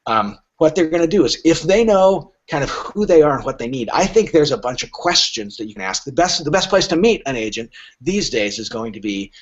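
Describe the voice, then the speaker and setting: A man's voice: a little high, animated, fairly quick. A middle-aged or older man answering interview questions as a literary agent, speaking frankly and emphatically and acting out imagined dialogue. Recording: dull lo-fi podcast audio.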